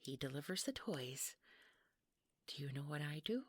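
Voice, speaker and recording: A woman playing a jealous, obsessive wife in an intimate audio roleplay, sugary and taunting, with a breathy voice that often nears a whisper. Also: sits low, animated. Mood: bitter, menacing. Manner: slow, sugary, taunting, breaking into giggles.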